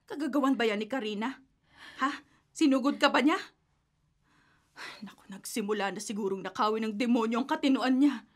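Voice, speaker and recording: A woman's voice: on the high side, brisk, pauses often, lightly acted. Woman playing a worried village helper. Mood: concerned. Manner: concerned, urgent. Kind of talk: radio drama acting.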